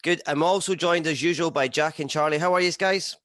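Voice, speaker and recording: A man's voice: a little high, fairly even intonation, fairly quick, a bit bright, dry recording. A man with a Scottish accent hosting a podcast, asking questions in a casual interview style. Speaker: podcast host.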